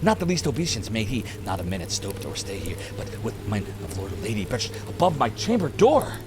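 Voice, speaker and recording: A man's voice: on the low side, very animated, a little fast. Male poetry narrator: melodramatic, extremely varied intonation, noisy recording. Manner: theatrical, melodramatic. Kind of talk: dramatic poetry reading, scripted narration.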